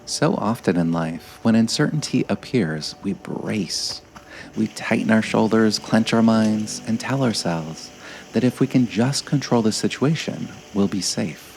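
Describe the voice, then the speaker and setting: Very slow, low-voiced man guiding meditation. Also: lively intonation, gravelly, rough recording. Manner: slow, gentle, measured delivery with frequent pauses. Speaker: meditation guide. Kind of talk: guided meditation narration, scripted.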